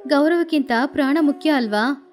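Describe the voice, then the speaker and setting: A woman's voice: sits high, normal speed, a little mellow, soft background music, close to an everyday voice. A woman voicing a sick, exhausted village wife in a moral story, weary and pleading. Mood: weak, pleading.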